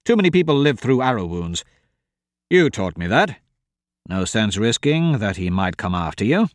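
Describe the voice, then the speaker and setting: Guy doing a grumpy cynical rogue for a fantasy audiobook, deadpan and curt, sorta low. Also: moderate pace, no echo. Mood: dry, matter-of-fact. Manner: low-key, dry, deadpan. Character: cynical, brooding male rogue, curt and unsentimental.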